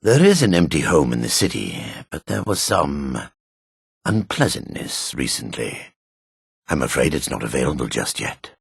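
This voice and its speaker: Husky male dark elf, widely swinging pitch. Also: sing-song, normal speed, dry recording, clean studio sound, heavily acted. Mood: formal, businesslike. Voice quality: raspy, husky. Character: male dark elf townsman, dry, gruff, changeable. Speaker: video game voice actor. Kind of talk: fantasy video game character lines.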